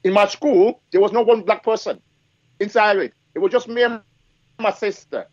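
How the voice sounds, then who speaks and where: A man's voice: high-pitched, moderate pace, no echo, lo-fi sound. Emphatic man speaking with an accent. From Africa. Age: 40s. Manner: emphatic, repetitive, rhetorical checks like okay and yes. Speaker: guest speaker. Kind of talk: live discussion.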